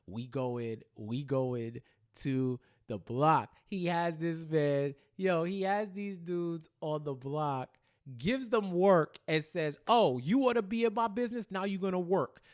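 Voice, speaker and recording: An American man with a fairly high voice chats as a podcast co-host. He talks in an animated, slangy way, repeating phrases for emphasis and slipping into quoted dialogue as he recaps TV drama. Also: slow pace. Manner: acts out characters' lines in slangy speech, repeating phrases for emphasis. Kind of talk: casual, animated TV recap chat.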